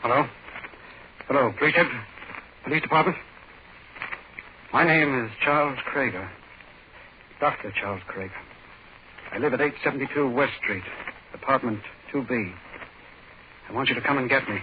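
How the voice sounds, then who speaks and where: A man's voice: medium pitch, moderate pace, long pauses, lightly acted. A man playing a grave, troubled doctor in a 1940s radio drama, with a solemn, clipped delivery. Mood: grim, shaken. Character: grave, troubled male doctor Charles Krager. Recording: muffled, hissy 1940s radio broadcast.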